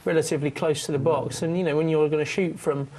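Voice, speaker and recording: Casting: man, panel guest, fairly high voice, measured and a little hesitant. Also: steady tone, darkish tone. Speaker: podcast panelist. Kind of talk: casual football chat.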